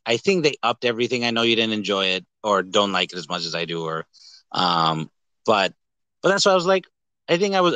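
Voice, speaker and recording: A man hosting a casual movie podcast, talking in an animated, rambling, jokey way with some swearing. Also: mid-range voice, no room sound. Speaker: podcast host. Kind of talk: casual movie review chat.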